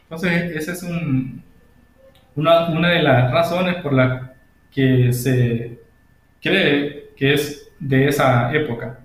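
A man in his thirties with a Honduran accent, presenting informally and hesitantly with many pauses on a video call. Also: on the high side, fairly even intonation. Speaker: guest presenter, agronomist. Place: indoor room at home. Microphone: video call device. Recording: very echoey room, video call.

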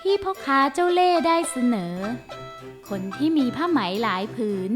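A woman tells a children's story in a high, expressive storybook voice. Clear children's music with tinkling jingles plays behind her. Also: moderate pace. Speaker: narrator. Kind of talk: scripted children's story narration.

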